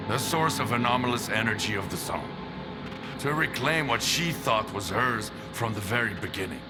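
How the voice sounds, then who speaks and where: An actor playing a weary, intense veteran stalker who talks in a low, measured, brooding way. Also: male voice, close to an everyday voice. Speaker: veteran stalker explaining the Zone.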